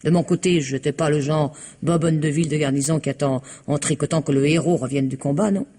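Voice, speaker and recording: A woman's voice: low-pitched, brisk, husky. An actress playing a reticent, proud woman whose husband has vanished, guarded and emotional under questioning in an old radio drama. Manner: guarded, emotional, sometimes dropping to a hush. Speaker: wife of a missing man. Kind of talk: 1960s French radio drama acting.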